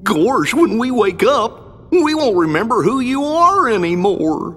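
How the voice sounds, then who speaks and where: An actor voicing a gentle, simple-hearted male cartoon dog with a hollow, gulping drawl in a video game. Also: very high-pitched, big pitch swings, measured pace, big-room sound, heavily acted. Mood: worried. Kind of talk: video game cutscene acting.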